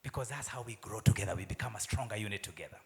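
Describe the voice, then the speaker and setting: A middle-aged man with a Kenyan accent giving a conference keynote, asking the audience questions and dropping into soft asides. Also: fairly low pitch, rapid-fire. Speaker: university vice chancellor giving a keynote. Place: large conference hall. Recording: long hall reverb.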